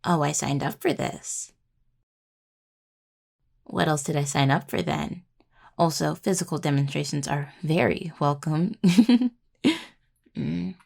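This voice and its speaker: A young adult woman playing an anxious, sweet necromancer healing student in intimate roleplay audio, with a gravelly, creaky voice and a hesitant, rambling, casual delivery. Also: lowish voice, a little mellow, exaggerated voice. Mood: affectionate, teasing.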